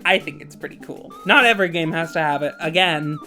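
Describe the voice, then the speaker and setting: A woman's voice: sits low, a little fast, bright, forceful tone, faint music behind. A woman narrating a scripted video essay with opinionated, playful, self-deprecating casual asides. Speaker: video game reviewer and narrator.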